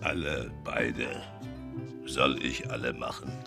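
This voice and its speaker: An actor playing a huge, menacing bodybuilder thug in a radio drama, terse and brutish, speaking in clipped phrases with a growl. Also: male voice, fairly even intonation, music in the background, heavily acted. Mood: menacing, flat. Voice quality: very deep gravelly growl. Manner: slow, clipped monosyllabic phrases.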